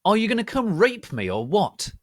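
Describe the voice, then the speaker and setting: A man voicing a furious, sarcastic, melodramatic teenage goth girl who shouts and growls. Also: high-pitched, wildly swooping pitch, normal speed, no echo, studio quality, pitched above the performer's own voice, full character voice.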